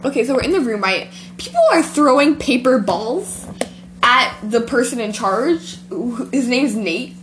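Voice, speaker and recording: Teen girl, high voice, giggly and rambly, noisy homemade recording. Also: expressive, unhurried. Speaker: podcast host. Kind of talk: casual chat.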